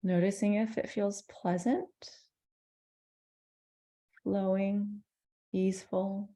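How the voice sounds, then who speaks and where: Gentle, mellow woman guiding meditation. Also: medium pitch, level tone. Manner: gentle, soothing, with unhurried pauses between phrases. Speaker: meditation teacher. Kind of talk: guided meditation.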